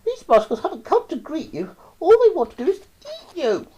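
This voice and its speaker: A woman voicing a frightened young child in a rhyming storybook, high and loud. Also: big pitch swings, fairly quick, low-quality recording. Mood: alarmed.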